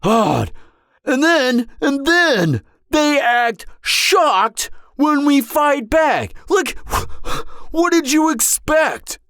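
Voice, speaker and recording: A man's voice: very high-pitched, extremely slow, no room sound, studio quality, heavily acted. A young man playing a charming, vain businessman supervillain on a blind date in a romantic comedy audio roleplay, talking conversationally and sarcastically to the listener. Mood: exasperated ranting. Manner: conversational, animated, exasperated venting with sarcastic edge.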